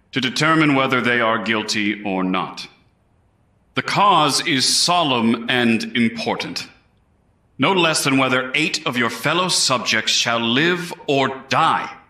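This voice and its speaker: A man playing a grave, earnest colonial Crown prosecutor in a historical courtroom drama, speaking in formal, deliberate oratory. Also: medium pitch, bright, forceful tone. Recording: echoey room.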